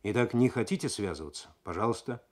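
A man's voice: fairly low pitch, animated, quick pace. A man voicing a brusque, cagey thief in a radio story, curt and dismissive in manner.

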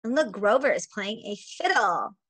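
A woman in her forties, a preschool teacher, reading a picture book aloud to young children in an expressive, sing-song manner. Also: medium pitch, normal speed, breathy edge. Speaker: preschool teacher and story time host. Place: small indoor room at home.

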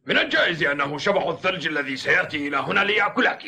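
An actor playing a kindly, gruff old mountain grandfather in an Arabic-dubbed children's cartoon, theatrical and paternal. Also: fairly high pitch, expressive, very fast, sharp tone, full character voice. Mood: reassuring, teasing.

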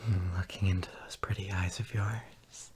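A man in an intimate boyfriend roleplay, half-whispering tenderly and with gentle dominance, with soft hums, close to the microphone. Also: low voice, little pitch movement, moderate pace, noisy background. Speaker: audio roleplay performer.

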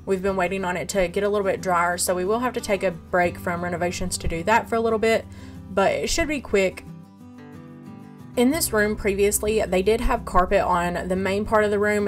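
A woman vlogger giving a casual walkthrough of her farmhouse renovation, explaining her plans step by step, filmed on a handheld phone in an empty room. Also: mid-range voice.

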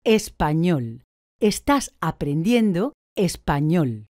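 A woman giving slow, deliberate, clearly articulated answers in a language course. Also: on the low side, big pitch swings, dark tone, no echo, studio quality. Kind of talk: scripted drill answers.

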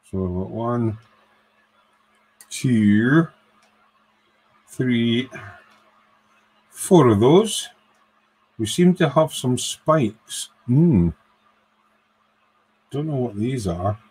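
A man's voice: mid-range voice, lively intonation, extremely slow, pauses often, darkish tone, husky, poor audio. A man doing a casual live build of an electronics kit, thinking aloud and counting parts in a workshop. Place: workbench in a home workshop.

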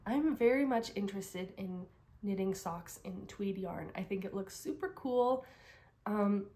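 A woman's voice: mid-range voice, measured pace, mellow. A young woman from Canada, recovering from a cold and losing her voice, chatting casually about crafts, rambling with frequent laughs and restarts. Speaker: crafting vlogger. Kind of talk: casual chat to camera.

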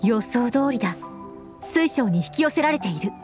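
A woman voicing a composed, protective young female leader in a Japanese anime game, speaking in a measured, assured way. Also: on the high side, expressive, close to an everyday voice. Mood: calm, pleased. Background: music.

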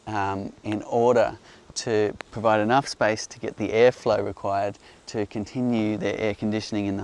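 A man calmly explaining beekeeping outdoors among hives, speaking in a gentle, explanatory way while hosting a live Q&A. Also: fairly low pitch, slight background noise. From Australia. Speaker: beekeeper host. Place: outdoors on a hillside among beehives. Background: faint outdoor ambience and buzzing bees.

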